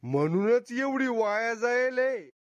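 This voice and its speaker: Man doing a slow-talking, grumpy old cartoon grandfather. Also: very high voice, animated, no echo, full character voice. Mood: blunt. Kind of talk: cartoon comedy dialogue. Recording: dull, lo-fi cartoon audio.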